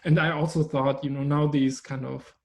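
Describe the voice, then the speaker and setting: A man whose first language is German, an artist speaking thoughtfully in an interview, pausing often with fillers. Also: a little high, level tone, vocal fry. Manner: thoughtful, hesitant with many fillers. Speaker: artist guest. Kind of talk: conversational interview.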